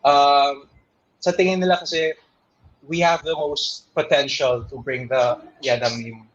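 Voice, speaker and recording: Casual interview guest: a young man, a bit high-pitched, easygoing and loose, recorded muffled. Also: fairly even intonation, a little slow, a little hiss or hum. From Philippines. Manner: switches between English and Tagalog in loose, rambling sentences.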